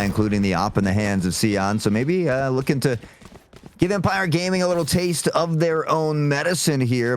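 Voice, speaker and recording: A man working as an esports caster, calling a live match play by play in a measured, orderly way. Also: mid-range voice, slight background noise.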